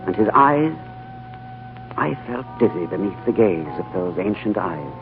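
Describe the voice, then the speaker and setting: A man playing a composed, thoughtful gentleman in a 1940s radio drama, urbane and reflective. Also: fairly low pitch, expressive, a little slow. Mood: hushed, reflective. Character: composed, thoughtful gentleman diplomat, narrating his adventure. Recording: old narrow-band radio, music behind.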